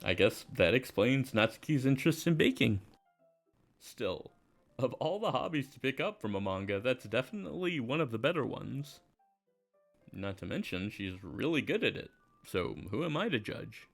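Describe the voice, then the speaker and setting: A man's voice: medium pitch, big pitch swings, moderate pace, creaky, faint music behind. A man playing an easygoing, self-deprecating teenage schoolboy, casual and reflective, in first-person visual novel narration and dialogue.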